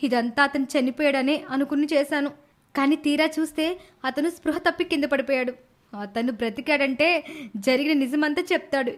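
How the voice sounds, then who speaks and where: A woman acting a scheming young woman from a cartoon moral tale. Her voice is high and she speaks with dramatic urgency, desperate and calculating. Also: normal speed, close to an everyday voice. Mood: urgent confessing. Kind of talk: animated moral story voice acting.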